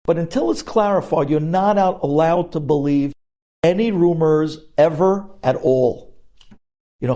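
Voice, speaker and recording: Mellow-voiced man teaching religious law. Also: a little high. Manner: explanatory, emphatic, poses questions and answers them.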